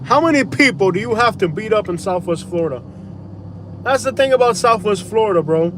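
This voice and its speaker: A man's voice: high voice, animated, brisk, noisy background. A man vlogging from his car at night, giving a blunt, slangy, opinionated rant. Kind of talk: live-stream rant while driving.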